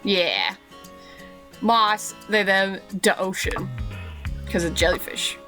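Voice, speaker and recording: A woman's voice: medium pitch, animated, slow pace, pushed and bright. Brief: woman streamer with a cold, chatty and rambling, game music playing under her. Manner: chatty, filler-heavy, giggly. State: has a cold. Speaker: let's play streamer. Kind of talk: casual gameplay commentary.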